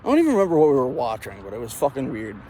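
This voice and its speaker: A man's voice: mid-range voice, very fast, slight background noise. A man telling personal stories in a casual, profane, comedic way, acting out the dialogue as he goes. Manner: profane, animated retelling with acted dialogue. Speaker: storyteller.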